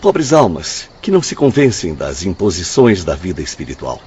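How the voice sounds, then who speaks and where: An actor playing an earnest, compassionate spirit missionary in a radio drama, with solemn, formal speech. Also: male voice, low-pitched, huge pitch swings, normal speed, a little mellow, lo-fi sound, a little hiss or hum. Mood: devout, compassionate.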